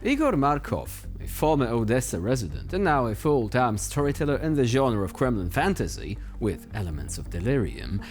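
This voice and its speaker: Sardonic male commentator with very animated intonation. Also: medium pitch, moderate pace, few pauses, over music. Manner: sardonic, mocking. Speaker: news show host. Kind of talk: scripted satirical commentary.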